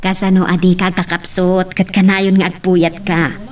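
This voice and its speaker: A woman's voice: lowish voice, level tone, moderate pace, darkish tone, slight background noise, lightly acted. A woman playing a fussing, scolding middle-aged mother in an Ilocano radio drama.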